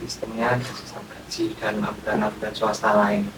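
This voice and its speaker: A man's voice: fairly low pitch, little pitch movement, fairly quick. A man, chatting casually as a podcast guest. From Indonesia. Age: 20s. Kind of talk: casual interview chat. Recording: echoey, distant, noticeable background noise.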